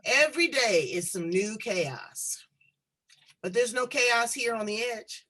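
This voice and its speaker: A woman hosting a talk show, chatting in a playful, animated way with slangy asides. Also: on the high side, takes it slowly. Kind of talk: lively talk show chat.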